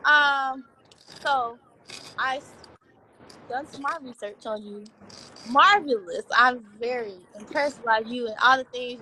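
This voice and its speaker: A woman's voice: a little high, sharp tone, slight background noise, low-quality recording. A young woman in her twenties, a student interviewer asking questions in a hesitant way, full of fillers and restarts. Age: 20s. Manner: hesitant, filler-heavy, self-correcting.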